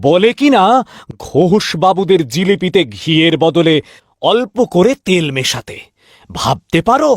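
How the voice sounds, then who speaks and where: A man's voice: sits high, lively intonation, a little slow, dry recording, clean studio sound, full character voice. A man playing an ageing, principled sweet-shop owner and stern father in a cartoon tale, speaking earnestly and emphatically. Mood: upset. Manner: earnest, indignant when crossed, emphatic repeated questioning. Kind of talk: cartoon fairy-tale voice acting.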